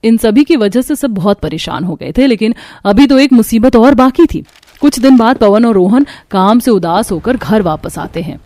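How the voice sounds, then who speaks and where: High-pitched woman narrating a story. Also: lively intonation, moderate pace, warm tone. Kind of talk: scripted cartoon story narration.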